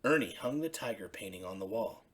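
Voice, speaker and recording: A woman storyteller reading a children's bedtime story expressively, in simple, deliberate phrases. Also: very deep voice, lively intonation, a little hiss or hum.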